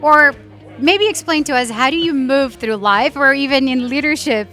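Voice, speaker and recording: A woman interviewing a guest at a conference, thanking him warmly and asking questions with some hesitation. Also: high-pitched, normal speed. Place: conference venue.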